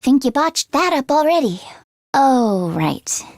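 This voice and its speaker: A teenage girl mechanic from a video game, with a high, youthful voice and a close, dry sound. She is a friendly tomboy, earnest and a little anxious, and speaks casually and expressively. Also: sing-song, slow, no echo, exaggerated voice. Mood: wary, indignant. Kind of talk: English video game voice acting.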